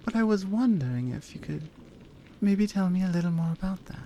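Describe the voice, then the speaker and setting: Woman playing a low, mellow, slow-spoken lioness lover. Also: lively intonation, slight background noise, lightly acted. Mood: gentle, curious. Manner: soft, deliberate, halting, earnest. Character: strong, dominant lioness woman, tender and earnest lover. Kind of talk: intimate ASMR roleplay acting.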